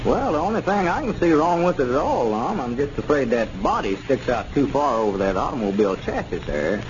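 A man playing Dick, a friendly small-town store owner who speaks in an agreeable, lively rural drawl, in a 1930s radio comedy. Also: mid-range voice, fairly quick, lightly acted. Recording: dull 1930s radio transcription with hiss.

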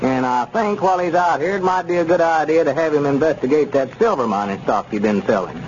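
No-nonsense rural townsman played by a man, firm and accusing, lively intonation, old noisy radio recording. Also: on the high side, fast, muffled recording, close to an everyday voice. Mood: threatening. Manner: firm, accusing, plain-spoken. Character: stern, no-nonsense small-town man interrogating a suspect. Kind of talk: 1930s radio comedy serial acting.

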